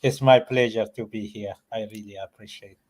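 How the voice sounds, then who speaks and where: A man from Ethiopia, a guest author and professor, answering interview questions with many pauses, fillers and restarts. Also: on the low side, fairly even intonation, a little mellow.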